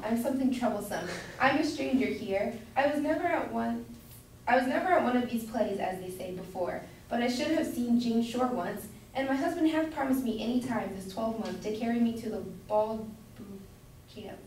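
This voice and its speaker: Woman as naive chatty wife, high-pitched, insistent, old stage comedy, distant recording with heavy echo. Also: expressive, moderate pace, darkish tone. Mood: chatty, gushing. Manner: gushing, insistent, repetitive. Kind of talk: amateur staged reading of Jacobean comedy. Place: indoor room at a college, far from mic. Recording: distant echoey room, faint noise.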